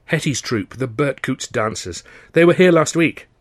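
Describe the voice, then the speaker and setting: A man's voice: mid-range voice, animated, moderate pace, voice pushed up, close to an everyday voice. A man voicing a lovelorn young music-hall comic in an audiobook, mournful, blunt and dismissive.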